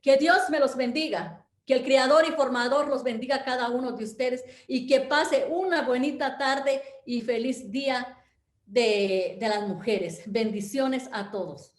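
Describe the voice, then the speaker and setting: High-voiced Guatemalan woman, rallying. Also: a little slow. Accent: Guatemalan Spanish. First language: K'iche'. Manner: earnest, rallying, calls for courage with religious blessings. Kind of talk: impassioned address to an online audience. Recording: roomy echo.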